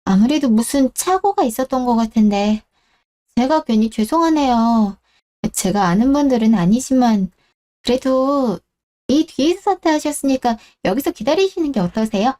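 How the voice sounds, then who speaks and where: A woman's voice: fairly high pitch, lively intonation, moderate pace, mellow, lightly acted. A woman playing a polite, considerate supermarket clerk in a radio drama. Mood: apologetic, helpful. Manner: courteous, considerate. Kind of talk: Korean radio-drama story acting.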